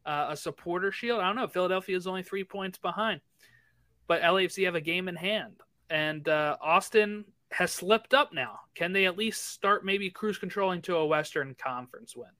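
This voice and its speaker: Bright, forceful male podcast co-host, close and dry; casual soccer chat, runs through fixture lists briskly. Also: high voice, dry recording. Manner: rattles off fixture lists, chatty.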